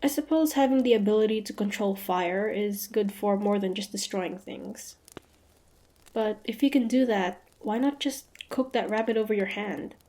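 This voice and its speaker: Mellow, guarded young huntress, played by an actress. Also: female voice, medium pitch, normal speed, natural voice. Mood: wary, puzzled. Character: proud, suspicious young female hunter, grudging and mildly tsundere. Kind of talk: fantasy roleplay audio drama acting.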